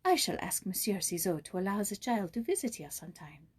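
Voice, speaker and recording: A woman's voice: medium pitch, very animated, a little fast, a little mellow, husky. Woman playing a proper, kindly old madame. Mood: concerned, proper.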